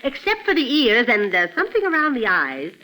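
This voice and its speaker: A woman acting as a fond, fussing, gently probing middle-aged mother in a 1940s radio drama. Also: fairly high pitch, wildly swooping pitch, a little fast, full character voice. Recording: noisy old radio recording.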